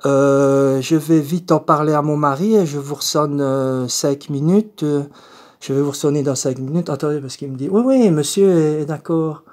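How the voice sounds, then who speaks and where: A man's voice: fairly high pitch, moderate pace, a little mellow, lightly acted. A man voicing a confused, polite eighty-year-old woman with a Belgian French accent, hesitant and repetitive, as in a prank phone call. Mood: insistent, chatty.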